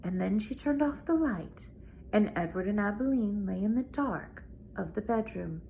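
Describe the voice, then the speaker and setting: A woman reads a children's novel aloud as a storyteller, with deliberate, dramatic phrasing. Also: medium pitch, lively intonation, gravelly, dark tone, poor audio. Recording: noticeable background noise.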